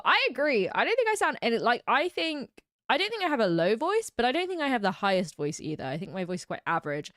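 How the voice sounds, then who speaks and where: British woman, streamer, chatty and giggly, bouncy pitch, fairly high voice, dry close mic. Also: clean tone, dry recording. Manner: giggly, chatty, reads comments aloud. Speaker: livestream host. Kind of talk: casual chat reacting to live comments.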